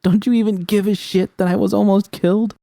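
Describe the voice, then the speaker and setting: A man reading aloud, voicing a distraught, guilt-ridden young woman in high, strained, pleading tones. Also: fairly even intonation, a little fast, creaky, warm tone, no echo, clean studio sound. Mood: pleading shouts.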